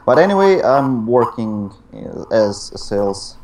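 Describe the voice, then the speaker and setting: A young man in his twenties speaks unhurried English with a Russian accent. He pauses often and slips in small laughs as he answers questions in a casual online chat. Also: mid-range voice, sing-song, darkish tone, a little hiss or hum. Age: 20s. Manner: hesitant, laughs between phrases. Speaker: interview guest, YouTuber.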